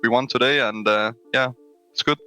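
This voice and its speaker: Young man with a bright voice on a headset. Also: medium pitch, normal speed, smooth, faint music behind. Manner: relaxed, filler-heavy, frank. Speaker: esports player. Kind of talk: post-match interview. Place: small room at home. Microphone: headset on a webcam call.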